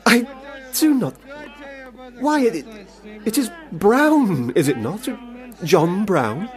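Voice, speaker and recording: A man playing a self-important, hopeful young gentleman artist in a radio drama, florid and a little hesitant. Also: high-pitched, big pitch swings, slow, heavily acted. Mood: astonished.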